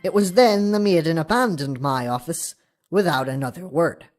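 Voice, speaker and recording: A woman's voice: low-pitched, normal speed, darkish tone, faint music behind, natural voice. A woman playing a solemn, self-important ancient scribe, telling a chronicle in archaic, theatrical language for an audio drama. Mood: solemn, grand.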